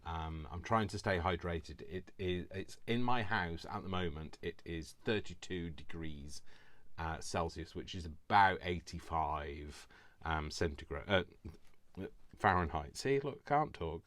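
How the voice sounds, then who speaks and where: Deep voiced guy from the uk, bit hoarse, rambly and self-deprecating, showing a craft. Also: measured pace, fairly bright tone, no room sound. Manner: rambling, self-deprecating, stumbles over words. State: slightly hoarse from allergies. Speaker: crafting tutorial host. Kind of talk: casual craft tutorial.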